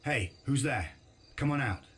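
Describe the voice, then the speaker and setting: An actor playing a weary, reluctant war veteran haunted by past fighting, in dramatic video game style. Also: male voice, medium pitch, expressive, measured pace, heavy background noise, close to an everyday voice. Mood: wary. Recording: loud music under the voice.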